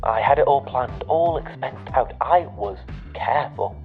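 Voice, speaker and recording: A thin, phone-band intercom voice of a guilt-ridden scientist played by a man in an audio drama. He is anxious and apologetic, speaking in a subdued, halting way over faint music. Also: medium pitch, lively intonation. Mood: regretful, weary. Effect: radio or intercom filter. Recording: tinny phone-like radio link.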